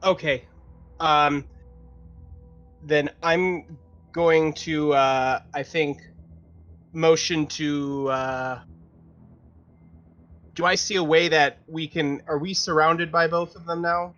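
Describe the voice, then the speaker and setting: Male tabletop player, higher-pitched and unhurried, casual and questioning, slightly dull audio with music. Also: fairly even intonation, fairly bright tone. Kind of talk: casual game-table chat.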